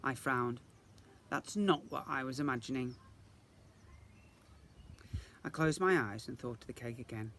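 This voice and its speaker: A man reading a children's story aloud in the expressive style of a teacher reading to young children. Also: medium pitch, unhurried, pauses often, a little hiss or hum.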